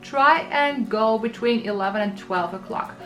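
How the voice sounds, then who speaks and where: A woman in her thirties from the Netherlands talks through travel tips, clear and practical in a scripted vlog delivery. Her voice sits a little high, with soft music underneath. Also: moderate pace. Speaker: travel vlogger.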